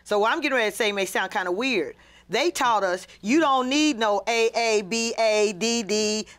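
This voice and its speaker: Frank woman from Kansas, slightly high voice. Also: moderate pace. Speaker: co-host. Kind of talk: casual panel talk.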